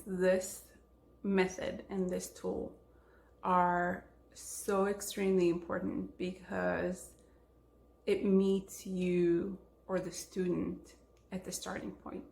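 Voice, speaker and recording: A woman's voice: fairly low pitch, creaky, a little mellow, reverberant. A woman from Israel, a native Hebrew speaker with an Israeli accent, giving an instructional talk as a language teacher and stressing key words.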